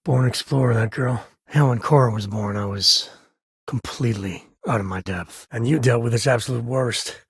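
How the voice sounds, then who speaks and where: An actor playing a rugged, folksy space-frontier ranger and devoted father in a video game, with a husky voice and an easygoing, sincere way of talking. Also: medium pitch, normal speed, no echo, studio quality. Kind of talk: acted game companion dialogue.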